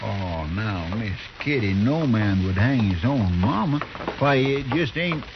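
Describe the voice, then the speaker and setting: Folksy naive cowboy deputy, slow drawl, good natured, hissy old radio western. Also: male voice, fairly low pitch, animated, highs cut off. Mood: earnest, puzzled. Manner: rustic drawl, earnest. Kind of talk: 1950s radio western acting.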